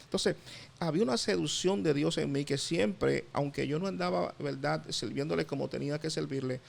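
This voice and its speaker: A middle-aged Dominican man, a pastor, giving his testimony in an interview, halting often with fillers and repeated words. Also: a little high, creaky. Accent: Dominican Spanish. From Dominican Republic.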